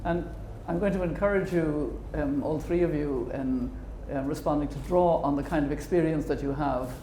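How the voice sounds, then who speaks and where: A man's voice: fairly high pitch, moderate pace, warm tone, echoey room, a little hiss or hum, poor audio. A man moderating a panel discussion, hesitant and full of ums, with friendly jokes. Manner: hesitant, many ums and uhs, friendly joking.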